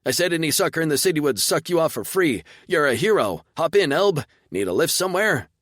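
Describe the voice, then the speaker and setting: A man voicing a rude, hot-headed male wagon driver who yells and swears. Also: a little high, big pitch swings, fairly quick, no room sound, clean studio sound, voice pushed up. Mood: fawning. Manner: yelling, swearing.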